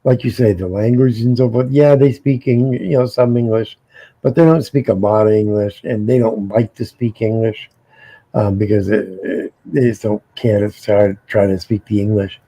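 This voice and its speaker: A man's voice: fairly low pitch, steady tone, moderate pace, mellow, husky, dry recording, poor audio. A middle-aged American man, co-hosting a casual panel chat, rambling and repeating his words. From United States. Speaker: co-host.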